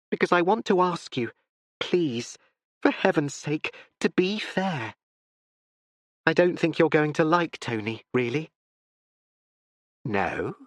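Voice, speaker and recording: A man's voice: fairly high pitch, a bit bright, no echo, pitched above the performer's own voice. A man reading an audiobook and voicing a spirited young woman who pleads breathlessly and urgently.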